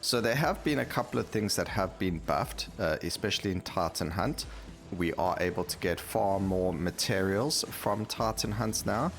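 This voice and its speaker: A man's voice: low-pitched, moderate pace, faint music behind. A man giving casual gameplay commentary as the host of a gaming video.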